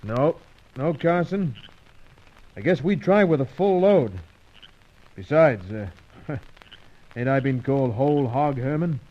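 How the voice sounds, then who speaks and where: An actor playing a brash, sceptical American seaplane pilot in an old-time radio adventure serial, with folksy, slangy wisecracks. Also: male voice, mid-range voice, expressive, unhurried, long pauses, lightly acted. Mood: wry. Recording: dull lo-fi old radio recording.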